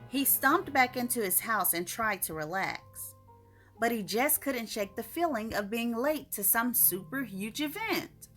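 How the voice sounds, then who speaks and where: A woman reading her own short story aloud as an expressive storyteller. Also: on the high side.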